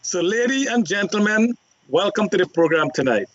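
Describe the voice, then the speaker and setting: High-voiced rambling male moderator. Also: lively intonation, normal speed. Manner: rambling, repetitive introductions with filler ums. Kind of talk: talk show hosting. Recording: dry, dull lo-fi line.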